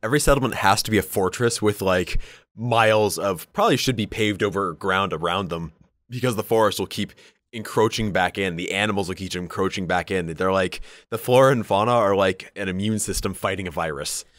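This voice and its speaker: A man's voice: deep voice, fairly quick, a bit bright, no echo, studio quality. A man co-hosting a podcast, chatting informally about tabletop game lore and peppering his talk with "like". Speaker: podcast co-host.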